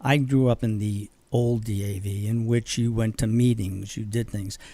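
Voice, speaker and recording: A man's voice: fairly low pitch, steady tone, normal speed, dark tone, no room sound. An older man from Boston, a veterans organization leader, speaking earnestly as a guest in a radio interview, with fillers and restarts.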